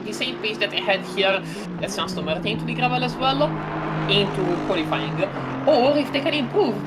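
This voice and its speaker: A woman with an Italian accent calls a virtual race live, chatty and loose, often stopping to correct herself. Her voice sits at an ordinary pitch for a woman. Loud car engines roar constantly beneath her. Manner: chatty, many fillers and self-corrections. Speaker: sim racing commentator. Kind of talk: live play-by-play commentary. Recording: loud racing car engine noise behind. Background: loud game car engines.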